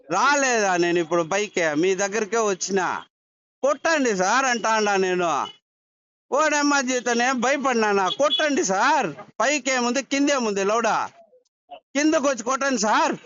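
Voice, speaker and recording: A man's voice: high-pitched, fairly bright tone, highs cut off. A man, speaking in a pleading, insistent way and repeating himself as he makes his case. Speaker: local businessman making a complaint. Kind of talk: pleading personal account and argument. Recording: noisy outdoor recording with traffic.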